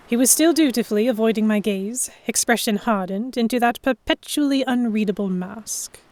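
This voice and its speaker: A woman narrating a first-person historical romance story with careful, expressive, scripted delivery. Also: medium pitch. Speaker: narrator and lead heroine.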